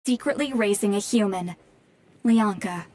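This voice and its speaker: An actress playing a knowledgeable, analytical young woman researcher in a video game, explaining things in a measured way. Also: medium pitch, a little mellow, close to an everyday voice. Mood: informative. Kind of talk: video game dialogue acting.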